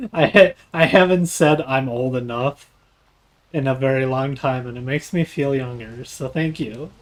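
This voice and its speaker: A man's voice: mid-range voice, measured pace. A man in his late twenties chatting casually while gaming on a livestream, teasing and swearing freely. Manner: joking, teasing, profane. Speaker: game streamer. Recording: clearly noisy stream audio.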